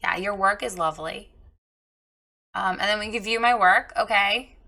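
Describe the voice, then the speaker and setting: Hoarse woman giving frank website feedback. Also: mid-range voice, moderate pace, sharp tone. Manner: chatty, frank, encouraging with filler ums. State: hoarse, recovering from lost voice. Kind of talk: casual screen-share critique.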